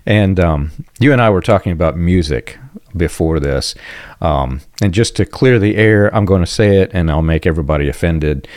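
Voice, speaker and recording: A very low-pitched man hosts a podcast in a casual, reflective tone, pausing to clear his throat now and then. The recording is dry and close, with no room sound around him. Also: a little mellow, dry recording. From United States. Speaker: podcast host.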